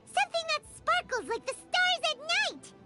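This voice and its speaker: A woman playing a tiny, excitable, childlike floating companion in animated video game dialogue, squeaky and easily indignant. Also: moderate pace, loud music behind the voice. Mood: chatty, curious. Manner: speaks of herself in third person, excitable.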